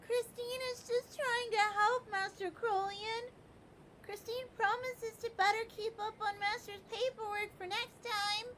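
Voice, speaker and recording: Super high squeaky little fox maid, meek n pleading, audio drama, noisy rumbly background. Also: female voice, fairly even intonation, a little slow, fairly bright tone. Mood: pleading, distressed. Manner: childlike, third-person, meek pleading. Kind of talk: roleplay audio drama acting.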